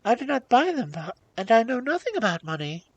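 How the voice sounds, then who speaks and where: A woman voicing a young fairy-tale princess, gentle and sorrowful, with a polite, pleading, meek manner. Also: fairly high pitch, big pitch swings, fairly quick, breathy edge, dull audio, pitched above the performer's own voice, full character voice. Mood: sad, meek. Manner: plaintive, polite, submissive. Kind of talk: storybook character voice.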